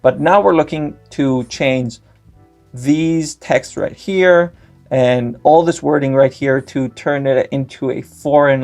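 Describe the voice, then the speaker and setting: Gravelly young man walking through code. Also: mid-range voice, a little mellow. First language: Greek. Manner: step-by-step, frequent "uh" fillers. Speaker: tutorial host. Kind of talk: screen-recorded tech tutorial.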